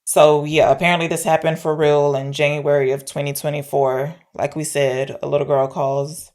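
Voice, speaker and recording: Low-voiced woman, casual podcast co-host. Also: level tone, moderate pace, darkish tone. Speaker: podcast co-host discussing films. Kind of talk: casual film discussion.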